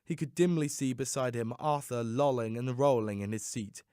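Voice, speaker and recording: A man giving a theatrical, expressive scripted reading of a story as an audiobook narrator. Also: mid-range voice, moderate pace, clear, resonant tone, no echo, studio quality.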